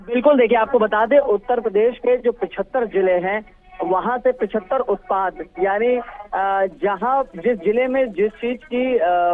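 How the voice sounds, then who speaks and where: A male field reporter giving a live news report by phone, hesitant, with many fillers and restarts. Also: high-pitched. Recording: tinny phone line.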